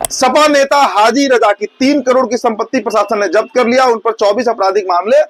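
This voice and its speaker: A male news presenter with a strikingly high voice for a man. He speaks conversationally, explaining each item to the audience and restating phrases as he goes. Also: slight background noise, low-quality recording. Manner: conversational, explanatory, repeats and rephrases points. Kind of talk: informal news commentary addressed to viewers.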